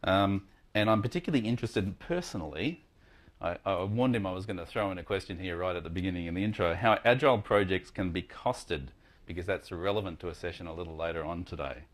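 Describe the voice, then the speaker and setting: A man with a fairly low voice hosts a conference session. He introduces the speaker informally, in a chatty, jokey way with some hesitation. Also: quick pace.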